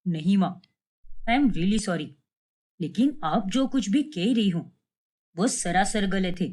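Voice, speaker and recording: A woman's voice: mid-range voice, animated, slow, a little mellow, voice pushed down. A woman voicing a frank young adult son in a cartoon family story, earnest and reproachful.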